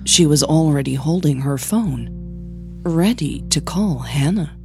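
A woman's voice: deep voice, expressive, measured pace, over music. A woman narrating scripted audio fiction as the storyteller.

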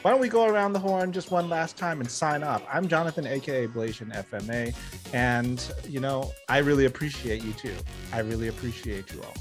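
A man's voice: mid-range voice, brisk. Podcast host, man, easygoing, light music bed. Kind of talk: casual podcast chat.

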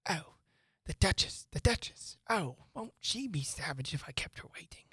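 A woman's voice: on the low side, lively intonation, moderate pace, husky, no room sound. A woman voicing an anxious male rabbit in a hurry, muttering under his breath. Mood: flustered. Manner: muttering, hushed.

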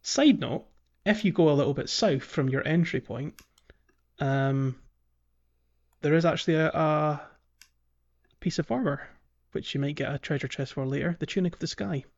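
A man hosting a casual gaming podcast, talking in a halting way and repeating words as he thinks aloud. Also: fairly high pitch, darkish tone. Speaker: podcast host. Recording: slightly muffled audio. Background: faint music.

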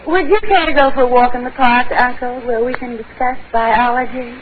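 Woman acting a high-voiced poised sweetheart, old radio. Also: fairly even intonation, brisk, heavy background noise, lightly acted. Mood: poised, flirtatious. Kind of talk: 1940s radio comedy acting. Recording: dull old radio recording, loud studio audience.

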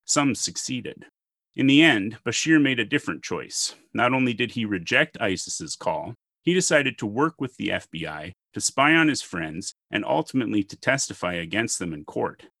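Podcast host guy from minnesota, lowish bright voice, reads the intro all formal then asks questions. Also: big pitch swings, normal speed, vocal fry, husky, no room sound.

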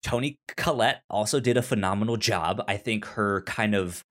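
A man co-hosting a podcast, casually discussing a film in a halting way, often repeating and restarting his words. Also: fairly low pitch, a bit bright. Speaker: podcast co-host reviewing a film.

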